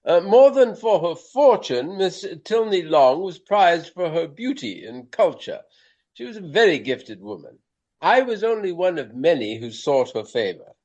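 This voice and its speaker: An actor playing a vain, elderly Regency stage actor with a pompous, florid, courtly manner in a radio play. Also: male voice, high voice, very animated, a little slow, a little mellow, muffled recording. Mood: wistful, gallant.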